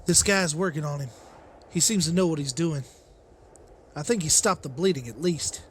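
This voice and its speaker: Male actor as worried father, determined survivor leader, fairly high-pitched, urgent, halting, slight noisy ambience, motion comic. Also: lightly acted. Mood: worried, subdued. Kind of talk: dramatized motion comic dialogue.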